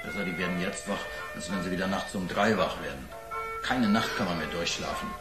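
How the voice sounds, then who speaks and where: A man's voice: sits low, fairly quick, roomy sound, over loud music, close to an everyday voice. An actor playing an overworked, irritable man in a radio crime drama, grumbling and sarcastic. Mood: exasperated. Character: overworked, irritable family man, gruff and exasperated.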